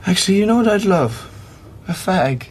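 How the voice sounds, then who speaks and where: An actor in a radio play voicing a terminally ill man who speaks gently, with wry, reassuring calm. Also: high-pitched, moderate pace, slight background noise. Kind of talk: radio play acting, intimate dialogue.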